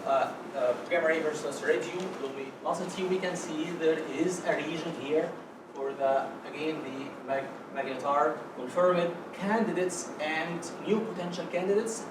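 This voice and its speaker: A man from Egypt giving a scientific conference talk, halting and full of fillers and restarts. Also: a little high, noisy background. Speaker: conference speaker. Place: conference lecture hall. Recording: echoey lecture hall, mic at a distance.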